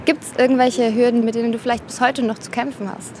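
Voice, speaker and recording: Higher-voiced woman interviewing over background noise. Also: flat delivery, quick pace, darkish tone. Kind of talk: informal interview questions.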